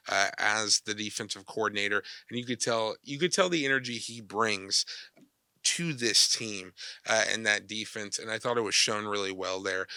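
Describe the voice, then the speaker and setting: A young man with a cold hosts his sports podcast, his nose a little stuffy. His voice is bright and smooth, and the sound is close and dry. He talks in a loose, chatty, energetic way. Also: medium pitch, no room sound. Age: young adult. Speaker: podcast host. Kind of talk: casual sports talk.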